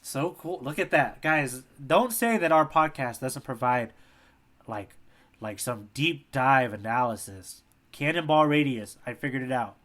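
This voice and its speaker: A man's voice: mid-range voice, expressive, measured pace, a bit bright. A man co-hosting a podcast, chatting casually in a playful, jokey manner. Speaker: podcast co-host. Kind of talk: casual chat, manga discussion.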